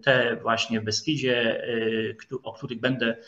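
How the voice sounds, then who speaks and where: Lo-fi online call sound, man, mid-pitched voice, formal academic lecturer, halting delivery, frequent hesitations, searching pauses. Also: little pitch movement, a bit bright. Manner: formal, addresses listeners politely. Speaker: lecturer, historian. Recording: dull lo-fi video call audio.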